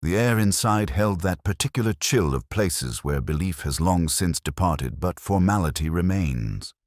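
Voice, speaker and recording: A man playing a melancholy police detective, narrating a mystery in a measured, literary, reflective way. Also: deep voice, expressive, no room sound, clean studio sound. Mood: somber, observant. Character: brooding, melancholy male police detective narrating his case. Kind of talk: scripted first-person mystery narration.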